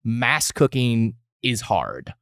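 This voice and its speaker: Animated American man, even-handed podcast co-host. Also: medium pitch, vocal fry, dry recording, studio quality. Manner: even-handed, conceding, measured in criticism. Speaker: podcast co-host reviewing a restaurant. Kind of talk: casual conversational review chat.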